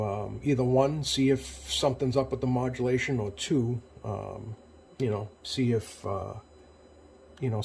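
Casting: male repair tech, mid-pitched, casual and hesitant hands-on demo, faint noise behind him. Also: a little mellow. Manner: hesitant, unscripted, plain-spoken. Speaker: CB radio repair technician. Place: indoor home electronics workbench. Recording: noticeable background noise, workbench room.

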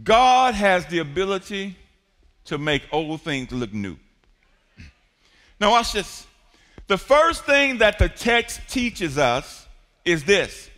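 A high-pitched, bright-toned man preaches from a church stage, swinging from quiet stretches to shouted peaks and calls for the congregation to answer. His voice rings through a large hall with a long reverb tail. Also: sing-song, takes it slowly, long pauses. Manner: preaching with rising shouts and call-and-response. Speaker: pastor. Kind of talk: sermon.

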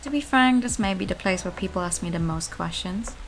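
A woman's voice: medium pitch, fairly quick, soft background music, natural voice. A woman playing a reserved, melancholy female driver who speaks formally and precisely in a fan-dubbed visual novel. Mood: reserved, somber.

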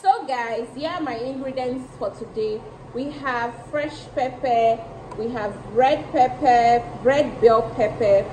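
Woman in her 30s doing a cooking vlog, chatty, bit high voice, roomy kitchen sound. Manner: chatty, talks straight to viewers. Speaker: cooking vlogger. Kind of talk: casual cooking walkthrough. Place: home kitchen. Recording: echoey kitchen with background noise.

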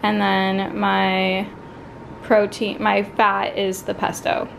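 A young woman with a mid-pitched voice chats casually as she vlogs. She rambles informally and thinks out loud as she goes. The camera audio carries clear ambient noise behind her. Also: monotone, slow, low-quality recording. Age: 20s. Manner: informal, meandering, thinking aloud. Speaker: lifestyle vlogger. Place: home apartment kitchen, sometimes street. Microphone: handheld or propped camera.

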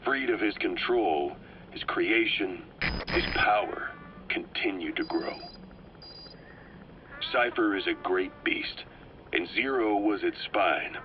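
Ocelot, deep-voiced calm intelligence officer. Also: male voice, noisy background. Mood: ominous, grave. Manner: measured, dramatic briefing with weighty pauses. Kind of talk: scripted video game narration. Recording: muffled band-limited tape with music behind.

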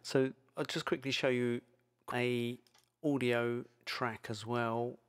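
A man presenting an informal software tutorial, speaking in a hesitant, loosely improvised way. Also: medium pitch, little pitch movement, slow pace, smooth. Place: indoors, screen-recording voice-over. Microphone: microphone into audio software.

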